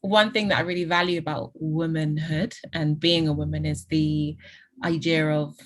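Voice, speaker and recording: A young woman in her twenties with a fairly low voice, chatting reflectively on a video call and pausing on fillers as she thinks. She is from England. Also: fairly even intonation. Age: 20s. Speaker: panelist. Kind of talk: casual chat.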